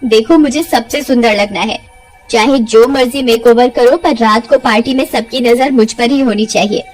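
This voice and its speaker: A woman's voice: a little high, normal speed, darkish tone, soft background music, lightly acted. A woman voicing a vain, demanding young female customer in an audio story. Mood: impatient, vain.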